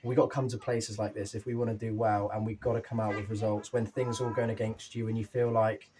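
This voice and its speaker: A young man talking after a football match as the team's manager, a little out of breath, animated and candid, with many fillers and restarts. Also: on the low side, quick pace. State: slightly out of breath. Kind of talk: post-match interview. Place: small clubhouse room with painted wooden walls.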